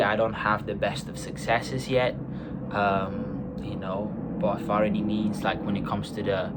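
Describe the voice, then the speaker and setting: A man's voice: on the low side, heavy background noise. A man in his twenties from Bulgaria, talking earnestly in a rambling, personal vlog monologue with many fillers. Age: 20s. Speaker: vlogger.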